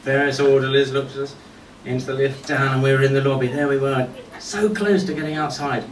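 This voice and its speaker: Middle-aged male tribute speaker, mid-pitched, wry storyteller at a memorial, recorded at a distance in an echoey room. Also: fairly even intonation, fairly quick. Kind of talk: eulogy speech with humorous anecdotes. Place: indoor function venue at a golf club, before an audience. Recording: echoey room, distant mic, faint noise.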